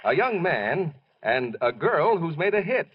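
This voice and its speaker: A husky-voiced man announcing and reading scripted advertising on 1940s radio, in an old recording. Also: a little high, sing-song, measured pace, muffled recording, very noisy.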